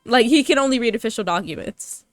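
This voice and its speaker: A woman's voice: fairly high pitch, animated, fast. A woman gaming YouTuber giving casual, informal playthrough commentary in a chatty way. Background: faint game music.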